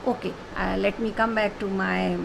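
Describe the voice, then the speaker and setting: Woman teaching french online, lots of uh's, a bit gravelly, noisy recording. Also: medium pitch, fairly even intonation, moderate pace. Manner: explanatory, repeats phrases, many fillers. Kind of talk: online language lesson. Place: home.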